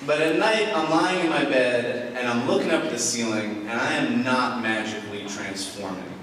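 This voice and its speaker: A man's voice: mid-range voice, normal speed. Young man storytelling in an echoey hall. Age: young adult. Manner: self-deprecating, wry. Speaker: storyteller, author. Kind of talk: live comic personal storytelling to an audience. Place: large indoor event space with seated audience. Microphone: stage microphone on stand, picked up from afar. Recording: roomy echoing hall, distant pickup, audience noise. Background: audience ambience and noise.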